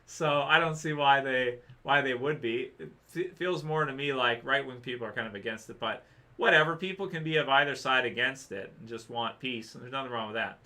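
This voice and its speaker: A man's voice: medium pitch, a little fast, bright, forceful tone. A man hosting a casual livestream, rambling through viewer questions with many fillers and restarts, saying he is losing his voice. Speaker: livestream host answering chat.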